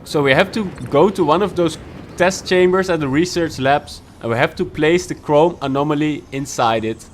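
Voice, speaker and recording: A man narrating a video game walkthrough, giving steady, instructive explanations of each challenge. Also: a little high, moderate pace, a little hiss or hum. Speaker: gaming guide youtuber.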